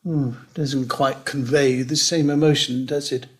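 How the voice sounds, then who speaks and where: An older man playing a lonely, wry retired teacher near eighty in a care home, in a reflective, measured dramatic monologue. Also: mid-range voice, steady tone, natural voice. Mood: wistful, wry.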